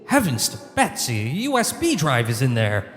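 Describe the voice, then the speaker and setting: A man plays a naive angel in a parody radio play, easily bored and distracted. His pitch swings very widely, folksy and whiny, in a long reverb. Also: wildly swooping pitch, normal speed, fairly bright tone, faint music behind. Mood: alarmed.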